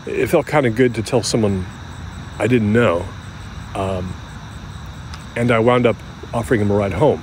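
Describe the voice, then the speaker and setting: An actor playing a middle-aged American man who recalls his youth candidly, in a halting, conversational, self-deprecating way, as if interviewed for an audio drama. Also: on the low side, darkish tone, a little hiss or hum, close to an everyday voice, poor audio. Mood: reflective, candid. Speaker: interview subject. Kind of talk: naturalistic audio drama acting as interview reminiscence.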